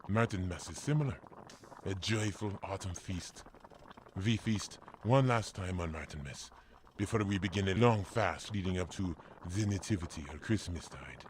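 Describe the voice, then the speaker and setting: Low-voiced kindly village priest. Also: male voice, expressive, slight background noise. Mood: warmly explaining. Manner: gentle, measured, formal, explanatory. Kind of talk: family audio drama acting.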